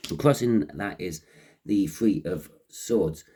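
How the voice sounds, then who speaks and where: A man with a croaky voice and a head cold, giving an unscripted tarot reading in a halting, repetitive way. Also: sits low, mellow, a little hiss or hum. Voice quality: croaky, husky. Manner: halting, repeating phrases as he explains. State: has a cold with a runny nose. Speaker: tarot reader and host.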